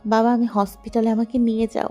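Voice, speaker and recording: A woman's voice: a little high, flat delivery, fairly quick, dark tone, close to an everyday voice. A woman playing a frail, suffering young daughter in an audio story drama, with music behind her. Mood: pained, pleading.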